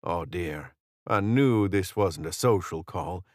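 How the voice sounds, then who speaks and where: A man's voice: low voice, expressive, takes it slowly, a little mellow, no room sound, studio quality. A man voicing a genial, courteous fey assistant with a Southern drawl in an audiobook story. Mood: chatty, polite. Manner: courteous, chatty, folksy.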